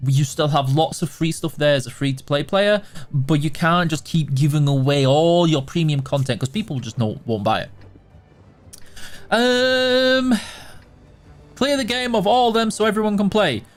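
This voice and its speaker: A man's voice: fairly high pitch, moderate pace, soft background music. A man with a Yorkshire accent, streaming a game and casually answering viewer questions in a chatty, self-deprecating way. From Yorkshire, England.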